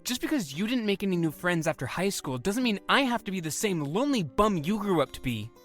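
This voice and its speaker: A woman's voice: medium pitch, sing-song, brisk, faint music behind, close to an everyday voice. A woman acting the part of an eager, defiant fifteen-year-old boy in an audio drama. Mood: defensive.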